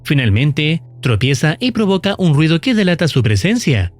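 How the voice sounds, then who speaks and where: A man giving scripted, explanatory narration in a measured, formal storytelling manner. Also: a little high, sing-song, no room sound, faint music behind. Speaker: narrator of a horror series recap.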